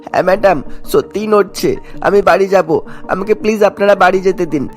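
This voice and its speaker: A man's voice: high-pitched, fast talker, soft background music, close to an everyday voice. A man voicing an anxious, stubborn little schoolboy in a cartoon, childlike and pleading. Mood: scared pleading.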